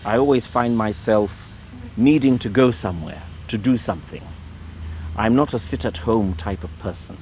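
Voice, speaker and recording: A middle-aged man from Ireland talks in a rather low voice, stopping often and repeating himself as he searches for words. He speaks as a priest being interviewed in his own home, with faint traffic sounds behind him. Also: normal speed, darkish tone. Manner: hesitant, repeats words, many fillers. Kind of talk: relaxed conversational interview.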